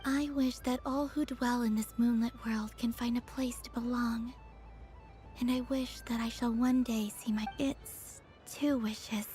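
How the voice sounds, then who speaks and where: A woman playing a wistful, tender otherworldly moon maiden in a video game, speaking softly and breathily with understatement. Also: on the high side, steady tone, unhurried, faint music behind, natural voice. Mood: hopeful, tender.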